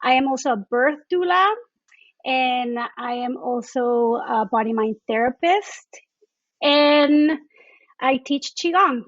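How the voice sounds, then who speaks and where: A Mexican-accented woman with a high, bright-toned voice speaks as a podcast guest. She is effusive and enthusiastic. The sound is dry and slightly muffled. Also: takes it slowly, no room sound. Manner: effusive, enthusiastic, repeats "I love". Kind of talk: conversational interview.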